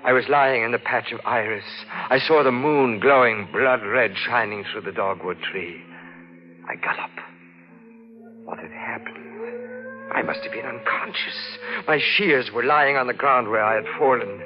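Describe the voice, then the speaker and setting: An actor playing a brooding, unhinged murderer in 1940s radio style, halting and dramatic, swinging from hushed to frenzied. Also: male voice, mid-range voice, close to an everyday voice. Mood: hushed dread. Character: unhinged male murderer, haunted, brooding and bitter. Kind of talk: 1940s radio acting, first-person suspense narration. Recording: dull vintage radio recording with music behind.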